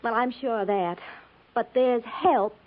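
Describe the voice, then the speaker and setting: An American actress playing a chatty, easily riled young schoolteacher bride with folksy, earnest speech in a 1950s radio drama. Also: female voice, a little high, unhurried. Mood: fretful, chatty. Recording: tinny, narrow-band 1950s radio recording.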